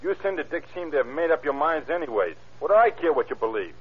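A surly drifter under questioning in a vintage radio crime play. He is curt, defensive and defiant, with a husky voice. The recording is dull and muffled. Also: on the high side, sing-song, fast talker. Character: defiant, surly male drifter suspected of a shooting. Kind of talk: old-time radio crime drama acting.

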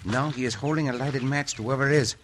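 An actor playing a gallant, resolute Mexican cowboy hero in an old radio western, with a Mexican accent and formal phrasing. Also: male voice, mid-range voice, steady tone, quick pace, fairly bright tone, close to an everyday voice. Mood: watchful. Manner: formal phrasing without contractions, sprinkled Spanish words. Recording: noisy old radio recording.

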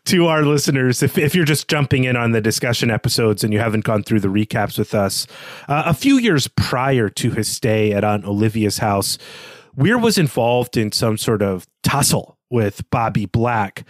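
A man's voice: medium pitch, expressive, normal speed, dry recording, clean studio sound. A man co-hosting a podcast, talking through a literary discussion in an analytical, explanatory way with clarifying asides. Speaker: podcast co-host.

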